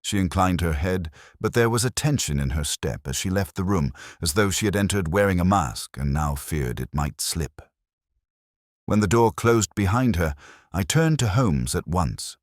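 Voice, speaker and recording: A man narrating a scripted literary story in a measured manner, as an audiobook narrator. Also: low-pitched, lively intonation, no room sound, studio quality.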